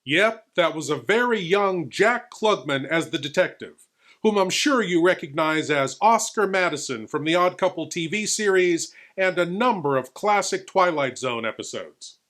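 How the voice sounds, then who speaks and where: A man presents old television as a horror host. His voice sits on the high side and moves up and down a lot. The delivery is scripted and theatrical. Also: expressive, normal speed, a bit bright. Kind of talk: scripted hosting commentary on classic television.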